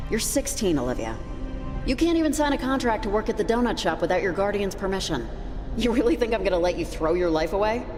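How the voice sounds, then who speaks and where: A woman's voice: medium pitch, big pitch swings, a little fast, natural voice. An actress playing a grounded, protective woman in a video game drama, speaking in a dry, firm way. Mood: firm, sardonic. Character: grounded, protective, self-sacrificing adult woman. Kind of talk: naturalistic video game voice acting. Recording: long reverb, music behind.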